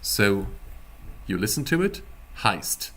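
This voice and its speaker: Husky, slow-talking man teaching language. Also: fairly low pitch, sing-song, pushed and bright. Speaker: German teacher. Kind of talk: live-streamed language lesson, explaining word by word.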